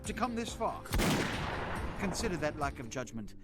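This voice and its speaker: A man's voice: fairly high pitch, big pitch swings, moderate pace. An actor playing an arrogant, scheming male vampire prince in a video game, speaking in a cold, haughty, menacing way. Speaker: game villain. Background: loud game music.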